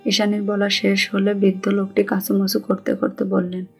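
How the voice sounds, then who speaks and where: A woman's voice: medium pitch, monotone, normal speed, creaky, a little mellow, slightly rough. A woman with a Bangladeshi accent reading scripted narration of a romantic story. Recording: music under the voice.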